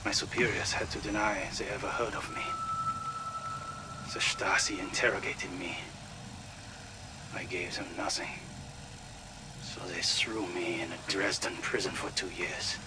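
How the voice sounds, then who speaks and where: Slow, cynical soldier prisoner. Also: male voice, steady tone. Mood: cynical, resigned. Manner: measured, dry, resigned. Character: captured German male sergeant, cynical expendable monster hunter. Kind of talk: dramatic audio log acting. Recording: noisy audio log with engine-like rumble.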